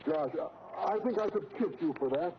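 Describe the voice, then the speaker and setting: A man's voice: high-pitched, expressive, normal speed. An actor playing an easily flustered, blustering man in a theatrical, exclamatory 1940s radio comedy style. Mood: bashful. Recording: muffled, noisy old radio recording.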